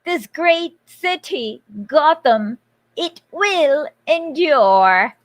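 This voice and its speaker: A woman doing an impression of a menacing masked male villain, proclaiming slowly and theatrically like a grand revolutionary speech. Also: sits high, expressive, no echo, voice pushed up, full character voice. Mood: grandiose.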